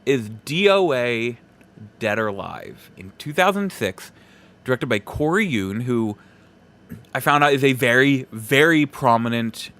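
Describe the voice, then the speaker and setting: A man hosting a podcast, giving casual solo opinions in halting, broken phrases with emphatic stresses. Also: medium pitch, expressive, a bit bright. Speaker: podcast host.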